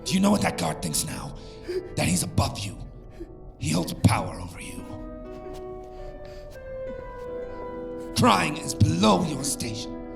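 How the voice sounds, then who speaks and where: A man's voice: on the high side, vocal fry, full character voice. An actor playing a harsh, domineering royal father in an audio drama, stern, scolding and full of imperious contempt. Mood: furious.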